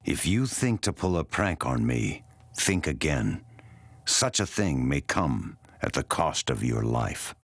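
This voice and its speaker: A very deep-voiced, stern warrior from a video game. He speaks slowly and menacingly, with a voice that still moves in pitch, recorded dry and close. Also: very animated, a bit bright, dry recording, exaggerated voice. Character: menacing, stern warrior who threatens pranksters. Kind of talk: scripted video game character voice lines.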